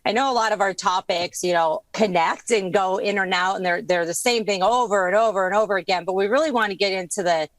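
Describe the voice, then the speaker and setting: A woman's voice: mid-range voice, fast talker, hardly any pauses, no room sound. Woman host on a podcast, kinda losing her voice, chatty and upbeat, super dry close sound. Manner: chatty, enthusiastic, thinks aloud with fillers. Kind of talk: casual podcast chat.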